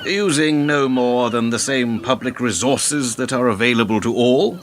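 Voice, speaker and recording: A man's voice: medium pitch, moderate pace, slight background noise, natural voice. A man acting in a radio play as a smug, cynical older political fixer who talks in a pompous, wordy, condescending way. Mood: pompous, instructing.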